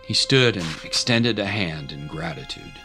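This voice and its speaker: Male narrator for dramatic fiction: low register, scripted delivery over clear music bed. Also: lively intonation, normal speed, pushed and bright.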